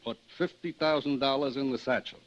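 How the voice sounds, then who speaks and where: An actor playing a scheming gang boss in a radio drama, speaking with courteous, ingratiating, halting politeness. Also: male voice, mid-range voice, natural voice. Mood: scheming, commanding. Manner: ingratiating, halting with deliberate ers. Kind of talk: old-time radio drama acting. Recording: dull vintage radio recording.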